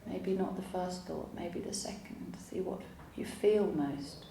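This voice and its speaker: A woman teaching yoga nidra, guiding a relaxation meditation in a calm, soothing, unhurried way with long pauses. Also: fairly low pitch, vocal fry. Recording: roomy echo, faint background noise.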